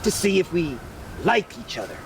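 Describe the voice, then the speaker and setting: Man as a storybook tiger, male, smooth-talking, coaxing, self-assured, lively pitch, gravelly edge, slightly off-mic. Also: moderate pace, heavily acted. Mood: smooth, controlling. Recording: distant mic, rumbly background noise.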